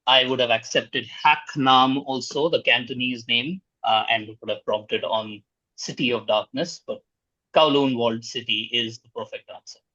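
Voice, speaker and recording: A man hosting a quiz, reading out questions in a formal, measured way. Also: mid-range voice, steady tone, pushed and bright, dry recording, lo-fi sound.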